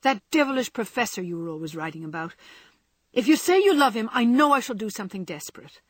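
A heartbroken young man, impassioned and pleading, as a woman reader puts him on. The voice is breathy and soft-edged, recorded dry and close. He speaks with a storybook earnestness. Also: fairly low pitch, animated, fast talker, no echo. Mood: fierce.

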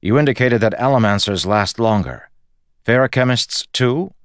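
A man voicing a cocky, determined dead crew leader in an audiobook, with a brisk, insistent and wry manner. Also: mid-range voice, no echo, studio quality, voice pushed up, lightly acted. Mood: insistent, teasing.

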